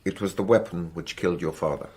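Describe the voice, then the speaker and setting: An actor playing a courteous, authoritative French examining magistrate in a radio drama, with a formal, official manner. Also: male voice, low-pitched, quick pace, a little mellow. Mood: probing, official.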